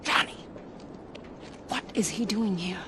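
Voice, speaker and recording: A woman's voice: mid-range voice, slow pace, natural voice. A woman playing a wary, loyal rebel informant with an Indian accent, reporting urgently in a video game. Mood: wary, doubtful. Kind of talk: dramatic video game acting. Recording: game scene with noisy ambience.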